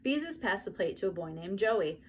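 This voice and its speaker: A woman narrating a children's book aloud in an expressive storytelling style. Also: mid-range voice, a little fast. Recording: faint background noise.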